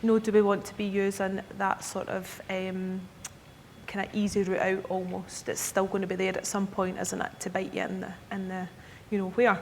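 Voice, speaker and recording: A woman's voice: monotone, moderate pace. Scottish woman presenting in an echoey hall. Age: 30s. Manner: chatty, checks in with the audience with "okay?". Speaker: key account manager presenting to advisers. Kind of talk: conference presentation. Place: conference hall. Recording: echoey hall with faint rumble.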